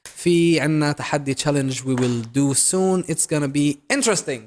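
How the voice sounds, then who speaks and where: A young man from the Middle East, a native Arabic speaker, hosting a casual chat with quick talk and many restarts and fillers. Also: a little high, a little mellow, a little hiss or hum. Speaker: YouTuber host.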